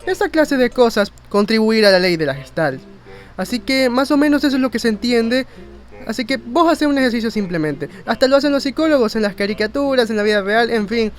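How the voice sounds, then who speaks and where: A man's voice: very high-pitched, animated, fairly quick. A man narrating an informal educational explainer in a conversational, rambling way with self-mocking asides. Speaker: video narrator and graphic designer. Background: faint music.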